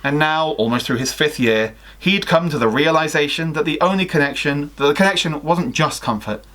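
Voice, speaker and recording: A man's voice: a little high, fairly quick, fairly bright tone. A young man reading a story aloud expressively, with dramatic emphasis and the odd self-correction. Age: young adult. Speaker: guest reader on a livestream. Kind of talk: expressive fan fiction story reading with casual asides. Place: small home room with sloped ceiling.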